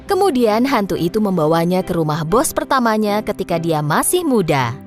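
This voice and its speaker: Lively woman narrating a children's story. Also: medium pitch, moderate pace, faint music behind.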